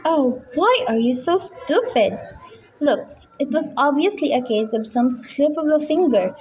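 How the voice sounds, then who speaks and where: A woman's voice: high voice, animated, unhurried, a little mellow, a little hiss or hum. A woman playing an anxious, demanding invalid wife in a radio play, fretful and insistent. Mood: exasperated, imperious.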